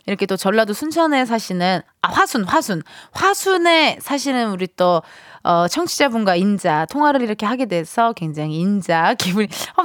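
A woman radio DJ chats on air in a lively, sing-song way, her pitch jumping as she laughs and teases. She plays with dialect fillers for fun. The sound is close and dry, with no room around it. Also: no echo. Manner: giggly, playfully drops dialect fillers. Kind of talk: casual radio chat. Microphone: studio mic.

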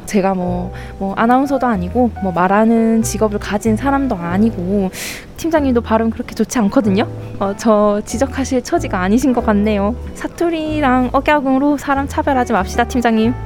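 A woman's voice: on the high side, brisk, few pauses, darkish tone, faint music behind. A young woman with a Busan accent, speaking frankly and wryly in a recorded message to her old team leader. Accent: Busan dialect. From Busan, South Korea. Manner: frank, wry, with frequent small laughs. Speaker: listener sending a message.

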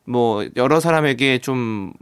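A man's voice: medium pitch, clean tone, dry recording, studio quality. A man hosting a radio talk show, chatting casually and affirming often. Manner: quick, agreeable, frequent yes-affirmations. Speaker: radio host.

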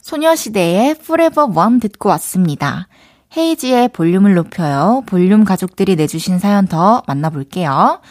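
A woman's voice: mid-range voice, lively intonation, moderate pace, darkish tone. A young woman with a Daegu accent, hosting a radio show and chatting casually as she reads out listener letters. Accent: Daegu Gyeongsang Korean. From Daegu, South Korea. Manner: chatty, playful, thinks aloud.

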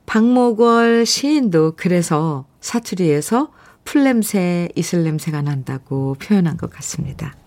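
A woman's voice: fairly low pitch, lively intonation, a little slow, hardly any pauses, darkish tone. A woman hosting a radio show, chatting in a friendly way and reading listener messages aloud into a studio microphone. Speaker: radio host.

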